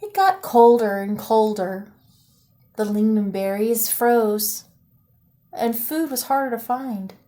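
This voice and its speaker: A woman teacher reads a picture book aloud to children. Her voice sits a little high for a woman. Her storytelling is lively and expressive, rising on the exciting parts. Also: takes it slowly.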